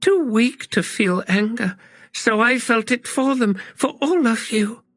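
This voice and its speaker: A man's voice: very high-pitched, expressive, a little slow, a bit bright, no echo, voice pushed up, full character voice. A man voicing an intense, vengeful young girl in a chanting, impassioned manner.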